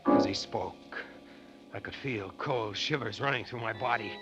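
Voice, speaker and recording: An actor with an American accent playing a haunted, fearful treasure diver in an old-time radio drama, tense and dramatic. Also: male voice, on the low side, unhurried, lightly acted. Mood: grim, haunted. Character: haunted, fearful male treasure diver telling his tale. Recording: vintage radio recording with loud music.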